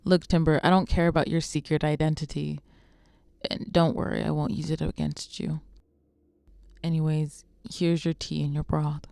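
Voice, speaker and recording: A woman's voice: low-pitched, level tone, moderate pace, vocal fry, a little mellow, no room sound. A woman playing a cool, proud, world-weary scarred vigilante in a superhero radio drama. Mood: cool, dismissive.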